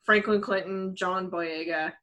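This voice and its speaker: A woman's voice: fairly low pitch, steady tone, measured pace, fairly bright tone. A woman on a podcast panel, talking in a casual, conversational style.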